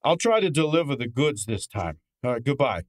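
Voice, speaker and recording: Man acting a brash, lively radio gumshoe. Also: mid-range voice, brisk, natural voice. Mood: matter-of-fact. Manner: exasperated, sardonic, hard-boiled. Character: brash, wisecracking male private detective's assistant. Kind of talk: old-time radio detective drama acting.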